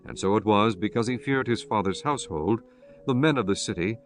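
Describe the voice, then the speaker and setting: A man narrating dramatized scripture as an audiobook, in a solemn, measured storytelling manner. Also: on the low side, soft background music.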